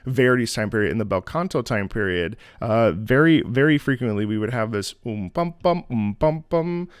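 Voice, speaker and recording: Animated, clear-voiced man commenting on music. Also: mid-range voice, normal speed, dry recording, clean studio sound. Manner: expressive, breaks into scat-singing to illustrate rhythms. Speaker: music reaction video host. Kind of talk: enthusiastic music analysis commentary.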